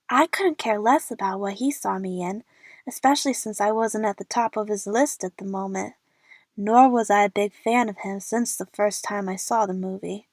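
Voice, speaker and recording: A woman's voice: medium pitch, no echo, lightly acted. A young woman playing an eager, chatty movie fan who narrates her adventure in the first person for an audio drama.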